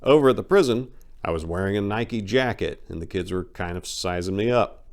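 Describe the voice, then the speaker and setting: A man's voice: deep voice, lively intonation, a little fast. A man reading a memoir aloud as host, with a theatrical, emphatic delivery. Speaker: channel host and reader.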